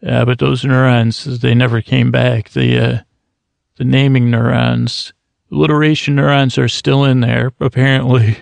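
A man's voice: medium pitch, flat delivery, moderate pace, vocal fry, dry recording. A man hosting his own podcast, rambling and self-mocking, often laughing at his own jokes. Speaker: podcast host. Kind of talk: rambling solo podcast intro.

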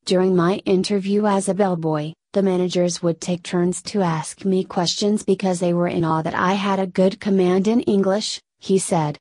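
A woman reading a news feature aloud in an even, steady delivery. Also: lowish voice, normal speed, hardly any pauses, no room sound, studio quality. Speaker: news reader.

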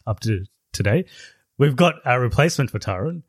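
A man's voice: mid-range voice, lively intonation, a little fast, husky, dry recording. A man hosting a casual podcast, steering the segments and reading out facts and figures. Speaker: podcast host.

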